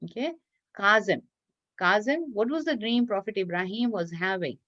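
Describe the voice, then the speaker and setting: A woman telling a story and teaching children in an expressive, encouraging, teacherly way over a video call. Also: medium pitch, moderate pace. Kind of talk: read-aloud storytelling and quizzing children in an online class. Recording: dull lo-fi online class audio.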